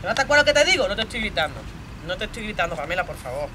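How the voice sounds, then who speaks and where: A woman's voice: high-pitched, expressive, very fast, sharp tone, slight background noise, rough recording. A woman voicing a jealous, bullying young boyfriend from a stage monologue, with a Spain accent, domineering and badgering with plenty of swearing. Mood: exasperated, bullying. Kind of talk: theatrical monologue role-play.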